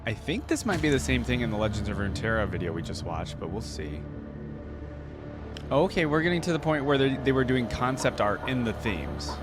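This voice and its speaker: A man's voice: medium pitch, lively intonation, a little fast. A man giving casual, musing reaction commentary as the host of a reaction video. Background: music from the watched video.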